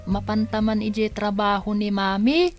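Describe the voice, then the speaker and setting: A woman's voice: medium pitch, measured pace, faint music behind, natural voice. A woman playing a curious young child who wheedles and pleads in an Ilocano radio drama. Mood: wheedling, eager.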